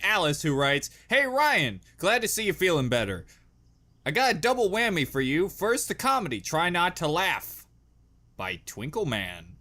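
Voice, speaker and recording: A man's voice: high-pitched, sharp tone. A man hosting a reaction video with casual, joking, exaggerated and profane commentary.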